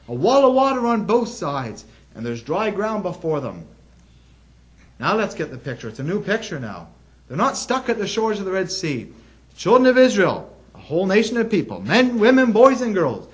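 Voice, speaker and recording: A man preaching an evangelistic sermon in an urgent, earnest way, telling stories dramatically and throwing out rhetorical questions. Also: sits high. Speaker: gospel preacher. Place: speaking to a gathering in a gospel hall.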